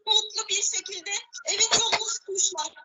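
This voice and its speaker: A woman teacher telling a story to children in an animated, expressive way, with drawn-out repetitions. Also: extremely high pitch, very noisy. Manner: animated, drawn-out repetitions, addressing young listeners.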